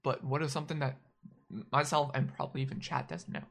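Woman, extremely low pitch, stream host, teasing interviewer. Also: fast talker, gravelly. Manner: teasing, pushes for answers, talks to chat. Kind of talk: casual interview chat.

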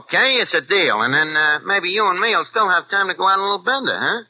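A man's voice: sits high, expressive, fast talker, sharp tone, a little hiss or hum, heavily acted. An actor playing a wisecracking American crook with slangy tough-guy talk and hesitant fillers, in an old radio drama. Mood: wheeling. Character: wisecracking American double-agent crook, streetwise. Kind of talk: 1940s radio acting. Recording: dull old radio recording.

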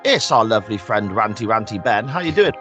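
A man hosting a casual podcast, a bit croaky and bunged up, chatty and quick to laugh. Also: on the low side, lively intonation, lo-fi sound, music in the background. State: croaky, congested. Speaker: podcast host. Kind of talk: casual chat.

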